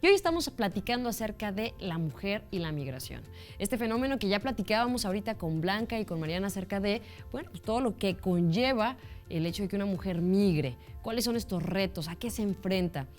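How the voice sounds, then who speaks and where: A woman hosts a television talk show, mid-pitched and speaking in a casual, colloquial way. She asks her guest animated questions while faint music plays in the background. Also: brisk. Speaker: TV show host. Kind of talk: hosting a TV talk show interview. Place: TV studio.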